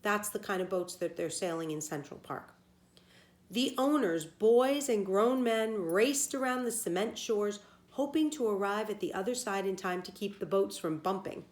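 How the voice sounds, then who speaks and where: A woman reads a storybook aloud in a teacher's manner. She speaks at a middling pitch and adds simple, plain explanations of words as she goes, as if for a class of children. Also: expressive, moderate pace.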